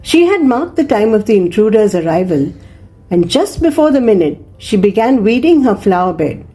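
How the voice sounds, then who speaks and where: A woman narrating a scripted children's moral story in an expressive, measured storytelling manner. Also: medium pitch, slightly rough, low-quality recording.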